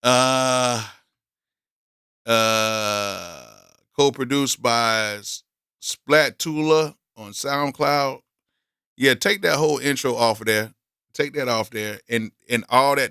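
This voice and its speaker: A hoarse man talks loosely through a live beat review, reacting with blunt verdicts and plenty of exclamations. His voice is bright and forceful despite the wear. The sound is close and dry, with no room around it. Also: mid-range voice, slow pace, no echo. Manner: candid, blunt, full of exclamations. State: hoarse, losing his voice from yelling. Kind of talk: casual live-stream commentary.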